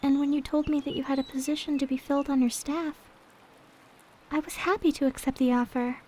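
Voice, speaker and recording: A woman playing a gentle, devoted young maid companion in an ASMR roleplay, speaking in a soft, polite, tender way. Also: high-pitched, steady tone, brisk, a little hiss or hum, lightly acted. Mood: wistful, tender. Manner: polite, tender, demure storytelling. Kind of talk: ASMR roleplay, soft intimate character acting.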